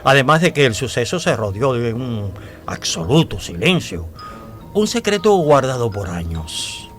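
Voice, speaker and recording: An actor in a radio drama playing a devout, talkative man who tells old secrets in a deliberate, dramatic way with hesitations. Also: mid-range voice, very animated, faint music behind. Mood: confiding, suspenseful.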